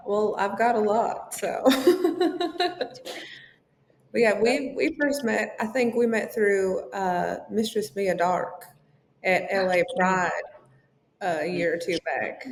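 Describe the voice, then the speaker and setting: A woman's voice: medium pitch, slow, slight background noise. A woman from Tennessee with a Southern accent, a podcast guest chatting openly, laughing often and filling with ums. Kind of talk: casual interview chat. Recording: echoey room, faint ambience.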